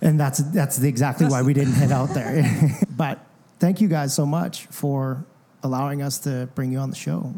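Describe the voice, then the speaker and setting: A man co-hosting a podcast, friendly and easygoing as he brings in his guests, with plenty of "uh" and "um". His voice is dark and mellow, and his pitch sits in the middle. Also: fairly even intonation, moderate pace. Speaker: podcast co-host. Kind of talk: casual podcast interview.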